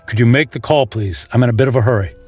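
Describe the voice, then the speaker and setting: A man's voice: medium pitch, fast, darkish tone, natural voice. An actor playing a wealthy man out to spend it all, in a radio drama. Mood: hurried, curt. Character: wealthy man splurging everything, hurried, then exuberant. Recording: music behind.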